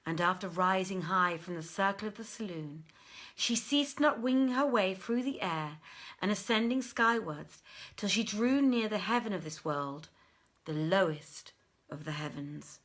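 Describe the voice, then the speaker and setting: A woman reading a literary tale as a dramatic storyteller, with measured, deliberate phrasing and theatrical pauses. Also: mid-range voice, lively intonation, fairly bright tone. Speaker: storyteller, audiobook reader.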